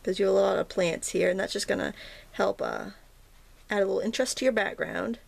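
A woman teaching a watercolor painting tutorial in a chatty, casual way, with many ums and restarts and a slightly hoarse morning throat. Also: medium pitch, fairly even intonation, fairly quick. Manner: chatty, self-interrupting, frequent ums and restarts. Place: indoors at a painting table.

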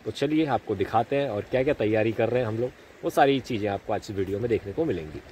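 A man's voice: fairly low pitch, animated, fast talker, darkish tone. A man in his thirties from India, chatting casually to viewers as he cooks outdoors by a river, filmed on a phone held at arm's length. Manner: chatty, addresses viewers as friends. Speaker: vlogger. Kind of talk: casual outdoor cooking vlog. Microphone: phone camera at arm's length. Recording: noisy outdoor phone recording.

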